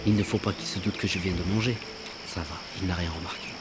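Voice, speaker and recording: A man voicing a greedy, sly, grumbling traveller in an animated cartoon, whining and exclaiming as he goes. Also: low-pitched, fast, natural voice. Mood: furtive. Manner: exclamatory, grumbling, panting and moaning. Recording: music under the voice. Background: music.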